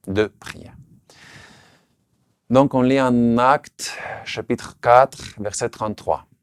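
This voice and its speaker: A man who speaks French, his language, giving an earnest, measured sermon. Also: on the low side, darkish tone, no room sound. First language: Russian. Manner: earnest, measured, slight restarts on words. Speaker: preacher.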